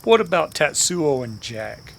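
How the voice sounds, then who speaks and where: An actor playing a vengeful, bossy cowboy with a cold, venomous, commanding manner in a Western radio drama. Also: male voice, fairly high pitch, sing-song, measured pace, vocal fry, slight background noise, heavily acted. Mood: challenging. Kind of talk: old-time radio Western acting.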